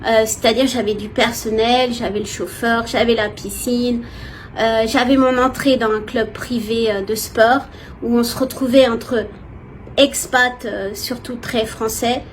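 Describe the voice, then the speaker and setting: A woman from France, giving a personal, expressive and hesitant testimony to viewers in casual talk. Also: fairly high pitch, a little slow. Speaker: vlogger. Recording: clear background noise, ambient sound.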